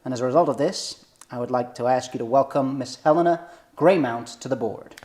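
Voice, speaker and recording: A formal chairman of a 1980s company , read by a man at a table read. His voice is mid-pitched and his speech is measured, as he addresses the board in a boardroom drama. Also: a little mellow, natural voice. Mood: formal, grave.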